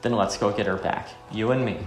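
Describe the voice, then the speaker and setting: A man's voice: lowish voice, fairly even intonation, brisk, lightly acted. A man voicing a bold wandering fighter in a visual novel game, stoic, earnest and defiant. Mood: resolute, calm. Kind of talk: amateur visual novel voice acting. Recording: roomy with faint music.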